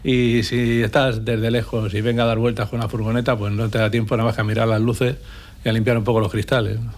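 A man from Spain, a former lighthouse keeper, answering radio interview questions in a relaxed, hesitant way. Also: medium pitch, quick pace. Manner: relaxed, anecdotal, many hesitations. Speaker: guest, retired lighthouse keeper.

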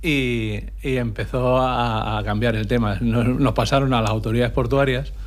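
A man from Spain, a former lighthouse keeper, answering radio interview questions in a relaxed, hesitant way. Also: mid-range voice, moderate pace. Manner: relaxed, anecdotal, many hesitations. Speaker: guest, retired lighthouse keeper.

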